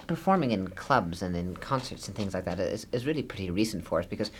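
A frivolous, jolly entertainer who answers in an offhand, deadpan way, as a man acts him out. His voice is very low, with a faint background hum. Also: fast talker. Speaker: half of a singing duo. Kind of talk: improvised comic interview.

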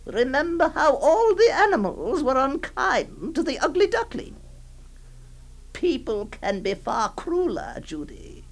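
A woman acting a doting, possessive aunt who comforts a young girl in a hushed, tremulous, pleading voice, in a dramatic monologue. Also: sits high, sing-song, measured pace, heavily acted, poor audio. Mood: ominous.

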